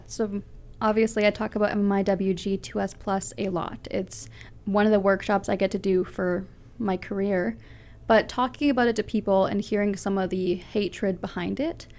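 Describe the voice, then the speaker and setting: A woman's voice: medium pitch, a little mellow. A young woman from Canada, a public speaker answering interview questions in an earnest, passionate way with a big voice. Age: young adult.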